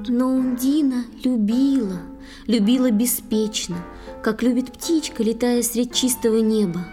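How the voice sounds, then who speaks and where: A woman's voice: fairly high pitch, a little slow, mellow. A woman narrating a poetic tale for an audio play in a lyrical storytelling manner. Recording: music under the voice. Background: instrumental music.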